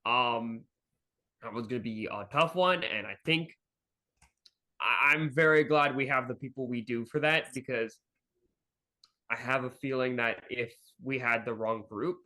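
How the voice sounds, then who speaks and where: A man's voice: mid-range voice, a little slow, long pauses, pushed and bright. A man in casual podcast conversation, speaking in an earnest, emphatic way. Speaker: fandub team member on podcast.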